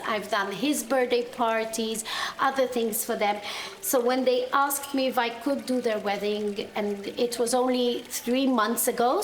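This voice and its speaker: A woman's voice: a little high, a little hiss or hum. A woman event planner talking casually and directly as she explains her work. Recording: roomy sound with music behind.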